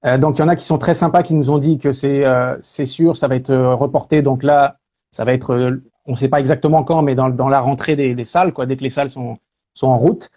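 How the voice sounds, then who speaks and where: A man from France talking casually as an interview guest, relaxed and full of hesitation fillers. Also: medium pitch, fairly quick. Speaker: guest, speaker and singer.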